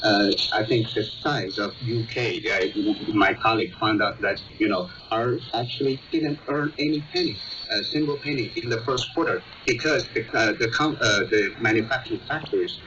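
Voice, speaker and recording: A man reporter giving halting commentary as a guest in a radio interview, often repeating and rephrasing. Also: fairly low pitch. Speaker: news reporter as panel guest. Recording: muffled shortwave radio, heavy static, distant. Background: loud shortwave static.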